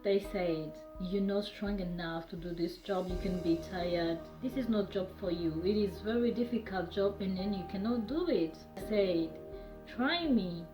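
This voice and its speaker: A woman's voice: medium pitch, unhurried. Rwandan woman in an echoey room. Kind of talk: personal testimony. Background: music.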